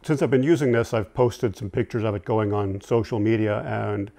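Older guy in his 60s, kinda low voice w lots of fry, casually explaining gear, halting n backtracking. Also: fairly even intonation, mellow, slight background noise. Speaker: audio-visual technician and product reviewer presenting vintage tape gear. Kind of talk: informal product demonstration, unscripted explaining. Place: indoor room at home, seated at a table.

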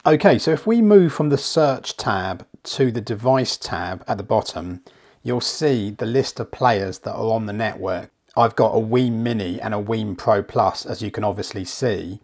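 A man with a croaky, flu-worn voice gives a chatty, steady step-by-step walkthrough as a hifi reviewer. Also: lowish voice, normal speed. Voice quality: gravelly. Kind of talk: screen walkthrough tutorial. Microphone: voice-over.

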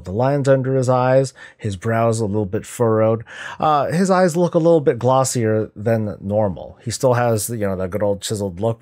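A man's voice: lowish voice, a little mellow. A middle-aged man giving casual, musing reaction commentary as a video host, talking conversationally. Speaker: YouTube host reacting to game cinematics.

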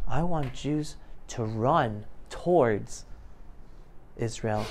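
A man's voice: medium pitch, creaky, a little hiss or hum. Emphatic middle-aged New man speaking. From New York City, United States. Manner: emphatic, animated, swinging between loud and hushed. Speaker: guest speaker addressing high school students. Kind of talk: impassioned motivational talk to a youth audience. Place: classroom, indoors. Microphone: lapel mic.

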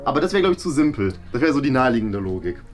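A young man chatting casually as a challenge contestant, animated and jokingly self-assured. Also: medium pitch, racing, faint music behind. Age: young adult. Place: small indoor studio storage rooms.

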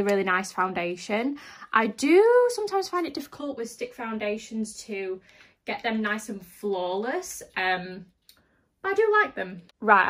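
A young woman in her twenties, slightly nasal from hay fever, chatting enthusiastically to camera in her makeup room at home. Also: mid-range voice. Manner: chatty, enthusiastic, repeats "really, really". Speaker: beauty vlogger. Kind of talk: casual vlog talk to camera. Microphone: camera in front, some close-up product shots.

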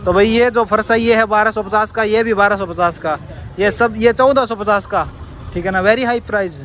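A man's voice: high-pitched, moderate pace, fairly bright tone. A young man from India, walking through an outdoor street market and chatting to the camera as a travel vlogger. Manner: chatty, pointing things out, repeats "theek hai" and "dekho bhai". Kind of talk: walking market tour vlog. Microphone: handheld camera mic. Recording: noisy street with traffic.

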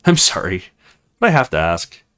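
A man's voice: deep voice, sing-song, normal speed, fairly bright tone, faint music behind, voice pushed down. Man reading as earnest, pleading young man. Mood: apologetic. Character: earnest young man stranded far from home.

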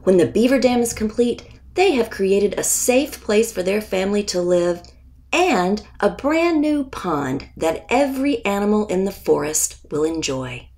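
Slow-reading woman with a slightly creaky voice. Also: medium pitch. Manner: deliberate, expressive, with pauses before key words. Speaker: author reading aloud. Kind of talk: scripted picture book narration for children.

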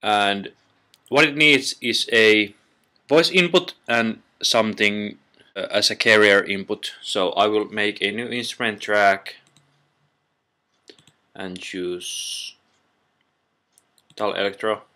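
A man narrating an informal software tutorial in a halting, step-by-step way, recorded on a built-in computer microphone. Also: on the low side. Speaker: tutorial presenter.

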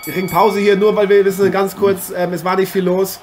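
A man playing an eager, chatty boxing commentator and interviewer in an improvised radio play, polite and halting. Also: high voice, level tone, darkish tone, faint music behind, close to an everyday voice. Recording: noisy, with arena ambience behind.

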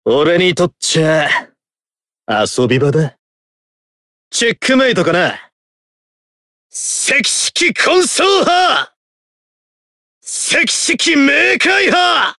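A swaggering, mocking rogue knight from an anime game. He taunts in a rough, gritty voice, then drags out forceful attack cries with wild pitch swings. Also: very animated, drawn-out words, long pauses, no room sound, clean studio sound, full character voice. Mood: mocking, cocky. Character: cocky, sardonic rogue knight, mocking and menacing. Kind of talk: anime battle game voice lines.

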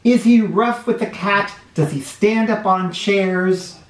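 Children's read-aloud host: male, middle-aged, expressive and slow-paced, drawing out phrases, recorded in a roomy space. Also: high voice. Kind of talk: animated storybook read-aloud for kids. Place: small bright living room or playroom, indoors. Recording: roomy indoor echo.

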